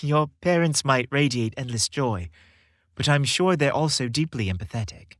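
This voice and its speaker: Male narrator as young man, supportive boyfriend, reassuring, gentle, dry sound, storybook character. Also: medium pitch, expressive, normal speed, no room sound, close to an everyday voice.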